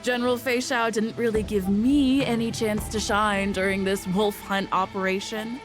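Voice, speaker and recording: A woman's voice: mid-range voice, steady tone, moderate pace, natural voice. A woman voicing a boastful young fighter full of big talk in a video game, with music behind.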